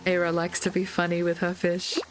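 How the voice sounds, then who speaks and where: Slightly hoarse woman chatting over game music. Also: lowish voice, monotone, a little fast. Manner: chatty, light punning asides, frequent ums and uhs. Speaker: gaming video creator. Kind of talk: casual gameplay commentary. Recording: loud game music with rain sounds behind.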